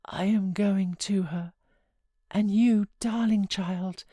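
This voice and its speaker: Woman reader as a dying dad, soft whispery murmur, tender and peaceful, classic novel. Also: medium pitch, fairly even intonation, slow pace, no echo, studio quality. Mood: tender, fading. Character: dying gentle old father, tender and serene.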